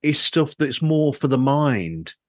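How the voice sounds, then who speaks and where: A man's voice: on the high side, sing-song, takes it slowly, dry recording, clean studio sound. A man hosting a casual podcast chat, rambling and opinionated as he circles around his points. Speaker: podcast host.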